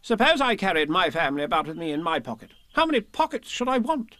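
A man's voice: high voice, sing-song, fast, bright, forceful tone, dry recording, voice pushed up, full character voice. A man voicing a bossy, exasperated rabbit in a children's storybook, speaking in an emphatic, officious way. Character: bossy, brisk, exasperated male rabbit who takes charge.

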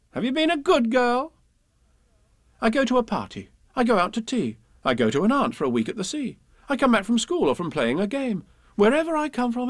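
A man acts a little girl reciting a children's poem. Her voice is high and lively, with the puzzled, put-upon air of a well-behaved child. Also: no echo, clean studio sound, heavily acted.

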